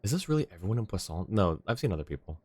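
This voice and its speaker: A man's voice: sits low, very animated, racing, a little mellow, slightly rough. A man giving casual, reactive live commentary as a game streamer, in an informal way. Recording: game music under the voice.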